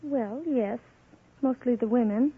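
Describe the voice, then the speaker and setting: A woman answers briefly as a contestant on an old radio quiz. Her voice is somewhat high, and the recording is thin and phone-like. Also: a little slow, a little hiss or hum. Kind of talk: brief unscripted answers on a vintage radio show.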